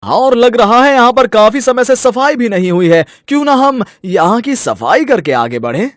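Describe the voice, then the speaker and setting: A man's voice: very high-pitched, very animated, moderate pace, no echo. A man voicing a scheming sorcerer who poses as a sweet-talking uncle in a story, persuasive and emphatic. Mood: coaxing.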